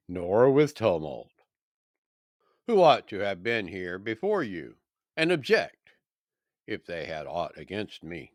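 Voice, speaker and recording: A composed apostle on trial read by a man gives his defense from scripture. He sounds earnest and formal, with slow, measured phrases. The recording is dry. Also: lowish voice, no echo. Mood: earnest, defensive.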